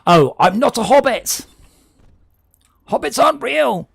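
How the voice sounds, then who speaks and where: A man with a southern English accent chatting excitedly and jokily with friends while playing a game online. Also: very high voice, a little slow, creaky, husky, no echo, studio quality. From England. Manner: chatty, excitable, jokey, tangents. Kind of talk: casual gaming chat.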